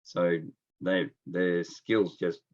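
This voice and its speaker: A man's voice: deep voice, level tone, drawn-out words, highs cut off. A middle-aged Australian man from Melbourne chatting as an interview guest, telling stories in a laid-back, colloquial way. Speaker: interview guest, experienced nurse. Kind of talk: casual anecdotal chat. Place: at home, on a remote call.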